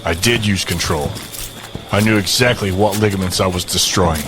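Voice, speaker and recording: Male actor for brutal, cocky fighter who runs things. Deep-set voice, gruff authority, smug menace, audio drama. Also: moderate pace, lightly acted. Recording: music behind. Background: music.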